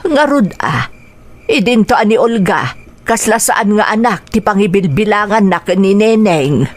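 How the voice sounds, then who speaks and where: A woman's voice: medium pitch, lively intonation, unhurried. A woman playing a caring elderly grandmother with an Ilocano accent in a radio drama. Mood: concerned. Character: caring elderly grandmother, gently concerned for family.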